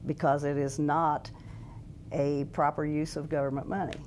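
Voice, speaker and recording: A woman's voice: low-pitched, level tone, moderate pace, a little mellow, slight background noise. A woman with an American accent giving formal interview answers as a state official. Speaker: state auditor being interviewed.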